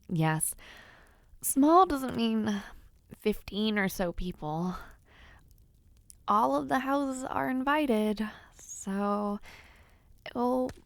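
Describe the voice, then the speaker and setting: A woman playing a teasing, bashful young noblewoman in an intimate audio roleplay, speaking playfully with hesitant pauses. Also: a little high, vocal fry, no room sound, full character voice. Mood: thoughtful, hesitant. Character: teasing, bashful young noblewoman before her debut ceremony. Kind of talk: intimate audio roleplay, one-sided conversation.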